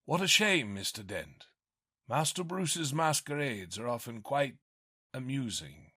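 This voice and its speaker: A man's voice: medium pitch, big pitch swings, unhurried, pushed and bright, husky, no echo, clean studio sound, exaggerated voice. An actor playing a polite, formal older male butler with polished diction.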